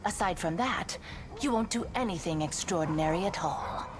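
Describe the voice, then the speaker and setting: An actress playing a haughty, seductive demon lord in a video game, scheming and mocking, with a theatrical drawl. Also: female voice, fairly low pitch, lively intonation, normal speed, a little hiss or hum, close to an everyday voice. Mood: cool, persuasive.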